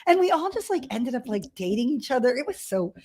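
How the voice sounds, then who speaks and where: A middle-aged woman from Ethiopia, telling personal stories as an interview guest in a candid, animated way with self-deprecating humour. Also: high-pitched, a little fast. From grew up in Ethiopia as a missionary kid. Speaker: podcast guest. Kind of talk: conversational personal storytelling.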